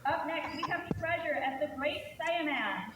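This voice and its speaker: A woman's voice: high-pitched, unhurried. A woman working as a contest announcer, calling out entrants at a live event in a large room. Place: large event room, played from a video clip. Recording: echoey hall from far off, loud noise.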